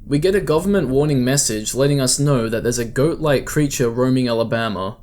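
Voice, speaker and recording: A man's voice: medium pitch, brisk, darkish tone. A man giving scripted, explanatory narration as the host of a video essay.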